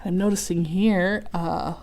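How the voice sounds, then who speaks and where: A woman reading a storybook aloud for young children, expressive, with chatty explanatory asides. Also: fairly low pitch, moderate pace. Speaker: children's read-aloud host.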